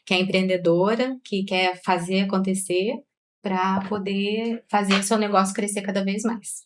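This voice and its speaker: A woman co-hosts a business podcast at a middle pitch. She sounds upbeat and lively as she puts questions to her guests. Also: moderate pace, noisy background. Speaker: co-host. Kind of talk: podcast interview.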